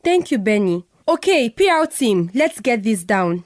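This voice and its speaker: A woman's voice: a little high, very animated, measured pace, dry recording, clean studio sound, faint music behind. A Nigerian woman acting a stressed, sarcastic company manager in a radio drama. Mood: resigned, decisive. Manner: sarcastic, challenging questions.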